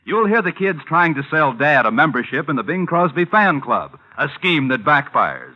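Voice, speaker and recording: An American man reads scripted network announcements in formal 1950s style. His voice is a little high, measured and polished. The old recording is dull and muffled. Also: big pitch swings. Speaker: radio announcer. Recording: muffled vintage radio recording.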